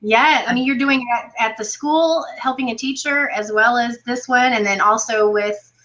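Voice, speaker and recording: A woman interviewing an exchange student as his coordinator, warmly praising his answers between questions. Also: a little high, fairly even intonation, moderate pace, dull audio. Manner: encouraging, praising, many fillers.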